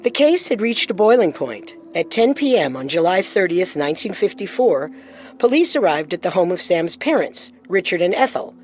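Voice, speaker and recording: A woman's voice: fairly low pitch, big pitch swings, normal speed, a little mellow, faint music behind. A woman narrating a scripted true-crime story as host.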